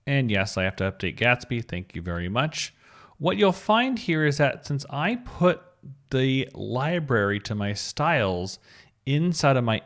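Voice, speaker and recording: A man presenting an informal coding tutorial, thinking aloud and spelling out technical terms step by step. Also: medium pitch. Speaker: web design tutorial presenter.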